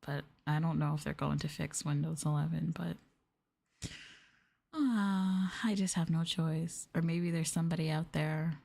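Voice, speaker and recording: A woman streamer chatting casually in a soft, hushed ASMR style, rambling gently and sometimes drifting into whispers. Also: deep voice, steady tone, a little slow, creaky. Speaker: streamer and VTuber host. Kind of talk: soft-spoken ASMR casual chat to live viewers.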